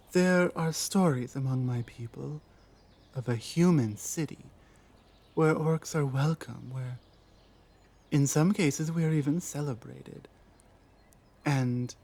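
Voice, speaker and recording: A woman voicing a lost, guarded female orc warrior in an ASMR roleplay, speaking earnestly and haltingly with pauses. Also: a bass voice, dark tone, heavily acted. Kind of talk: ASMR roleplay audio drama.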